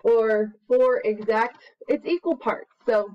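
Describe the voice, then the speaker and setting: A woman in her thirties with an expressive, singsong manner, talking to young children as an educator. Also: a little high, slow. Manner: expressive, singsong, encouraging young listeners to join in. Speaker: children's educator and story reader. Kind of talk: animated read-aloud and casual craft demonstration for young children. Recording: slightly dull webcam recording.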